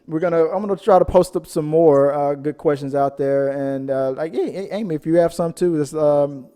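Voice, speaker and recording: A man's voice: a little high, a little fast, warm tone. A man hosting a film discussion podcast in a casual, rambling, jokey way, often searching for words. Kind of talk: casual chat. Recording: long hall-like reverb.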